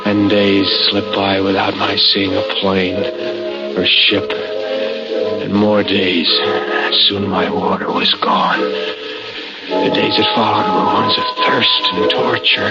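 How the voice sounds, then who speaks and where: Slow, deep-voiced haunted castaway. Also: male voice. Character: shipwrecked young lieutenant, haunted, exhausted survivor. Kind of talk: 1940s radio acting, first-person narration. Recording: muffled old radio recording, loud organ music.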